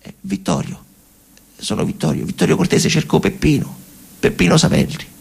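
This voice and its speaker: A man's voice: a little high, sing-song, normal speed, creaky, slightly rough, pitched above the performer's own voice, exaggerated voice. A man playing a timid, pleading teenage boy in a stage monologue. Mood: nervous.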